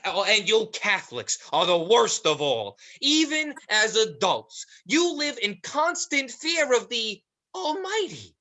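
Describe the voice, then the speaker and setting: A performer playing Mister Woozle, a theatrical, mocking, ominous demon with drawn-out, mock-polite taunts, in a stage play reading. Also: medium pitch, sing-song, sharp tone. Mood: taunting.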